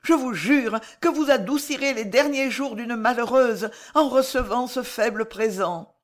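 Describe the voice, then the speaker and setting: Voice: medium pitch, measured pace, voice pushed up, lightly acted. A tormented woman spy, played by a female reader, pleads with humble intensity. Her formal words come out strained and faltering, heavy with guilt, as in a dramatic historical audiobook. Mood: broken pleading.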